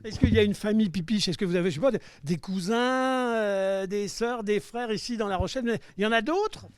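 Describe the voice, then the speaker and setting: A man's voice: high-pitched, a little fast, a little mellow, no echo. A man hosting a live street interview outdoors by the seafront, asking questions in a playful, teasing way. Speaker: host.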